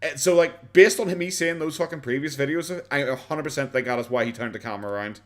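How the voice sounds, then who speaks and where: A man's voice: mid-range voice, fast talker. An Irish man streaming a casual reaction chat, sarcastic, swearing often and laughing a lot.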